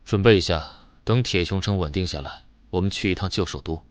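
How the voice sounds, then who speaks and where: A man playing a cold, commanding superpowered avenger in a motion comic, speaking with calm, deliberate menace. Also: low voice, dry recording, lightly acted. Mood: grim resolve. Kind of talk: dramatic Chinese motion-comic voice acting.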